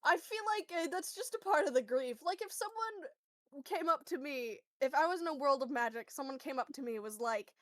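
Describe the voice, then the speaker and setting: A woman's voice: high-pitched, expressive, brisk, fairly bright tone. A woman chatting casually and animatedly with friends as a player in a tabletop role-play game, swearing freely. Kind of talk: casual group chat about a game. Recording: roomy echo, music behind.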